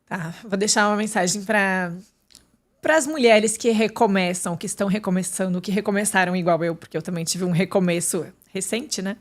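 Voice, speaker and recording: A woman's voice: medium pitch, normal speed. A woman, a podcast guest talking candidly and casually, with many fillers and restarts. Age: 30s. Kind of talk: casual interview chat.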